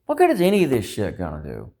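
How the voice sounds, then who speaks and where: A man with a fairly low voice speaks in a loose, rambling vlog style. He circles back over his points and doubts himself as he goes, dropping in the odd mild swear word. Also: huge pitch swings, quick pace, dark tone. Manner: self-doubting, ruminating, mildly profane. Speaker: vlogger. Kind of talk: rambling casual vlog monologue.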